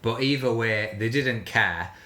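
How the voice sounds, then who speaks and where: A man's voice: mid-range voice, a little slow, bright, forceful tone. A man co-hosting a casual panel discussion, talking in a critical, incredulous manner. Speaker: podcast co-host. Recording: roomy echo.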